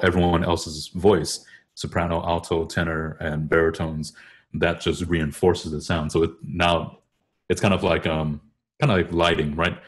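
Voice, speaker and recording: A man explaining vocal technique casually, often rephrasing himself as he goes. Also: low voice. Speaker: choral bass singer discussing vocal technique.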